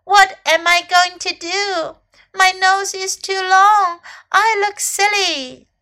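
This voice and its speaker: A woman voicing a curious, excitable young male elephant in a storybook, eager and full of exclamations. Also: extremely high pitch, slow pace, pitched above the performer's own voice, heavily acted. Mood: upset.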